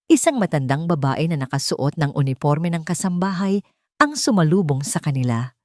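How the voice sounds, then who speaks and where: A woman's voice: low voice, big pitch swings, moderate pace, no echo, studio quality. A woman with a Filipino accent reading a scripted dramatic story as its narrator.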